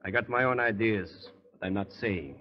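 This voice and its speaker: A man's voice: fairly low pitch, moderate pace, slight background noise, lightly acted. Man acting a suspicious old tunnel worker, muffled radio. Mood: suspicious, insinuating. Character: suspicious old-timer tunnel worker, plain-spoken, insinuating. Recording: muffled lo-fi 1930s radio broadcast.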